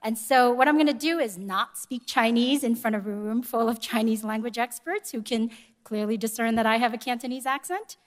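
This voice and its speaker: A woman delivering a prepared speech at a conference in a measured, polite and formal manner. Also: a little high. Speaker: conference speaker, education organization executive. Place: live event room before an audience.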